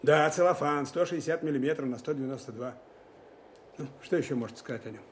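An actor playing a dry, exacting counterintelligence lieutenant colonel, terse and commanding, in a wartime audio drama. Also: male voice, on the high side, slight background noise, close to an everyday voice. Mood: probing. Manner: terse, commanding, deliberate questioning. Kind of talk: Russian wartime audio drama, narration and dialogue.

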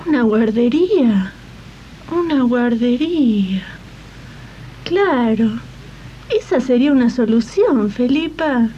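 A woman plays an anxious single mother worn down by housework jobs, with an Argentine accent. Her voice is high and very mellow, plaintive and colloquial. The sound is a dull, old 1980s radio drama tape. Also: expressive, slow pace, pauses often, slight background noise. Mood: relieved, curious. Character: anxious, overburdened single mother working as a maid. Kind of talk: 1980s community radio play acting.

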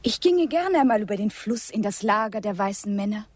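An actress playing a dreamy, wistful young Comanche woman in a radio drama, with a very breathy, often half-whispered voice. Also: mid-range voice, animated, fairly quick, darkish tone, dry recording, lightly acted. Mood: dreamy longing.

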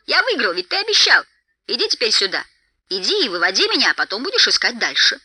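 A woman voicing an impatient, insistent male adventurer in a story, speaking in brisk, demanding commands. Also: a little high, huge pitch swings, sharp tone, dry recording, full character voice. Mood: impatient, calling out.

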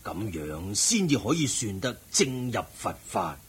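A man acting in a radio drama as a genial, learned Buddhist lay sage, with a measured, preaching and expressive manner and a husky voice. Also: on the low side, exaggerated voice. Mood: earnest, instructive.

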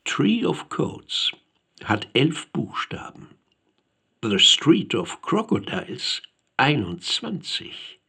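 A man narrating a literary radio play as a pensive storyteller, measured and deliberate, pausing between phrases. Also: medium pitch, huge pitch swings, gravelly, sharp tone, breathy edge, full character voice. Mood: pensive, wondering. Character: pensive male narrator musing over vanished letters.

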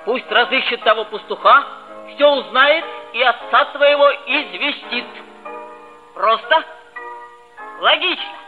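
An actress playing a bossy, hot-headed but loyal schoolboy of about thirteen, commanding and emphatic, in a 1960s Soviet radio play. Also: female voice, on the high side, slow pace, pauses often. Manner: commanding, self-assured, emphatic. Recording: dull old radio recording with music.

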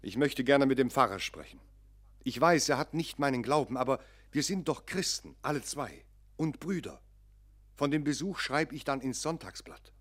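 A man's voice: mid-range voice, lively intonation, moderate pace, close to an everyday voice. An actor playing a troubled, earnest visiting clergyman in a radio play, speaking in solemn, insistent short phrases. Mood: earnest, questioning. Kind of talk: German radio play acting. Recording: long echoing church-like reverb.

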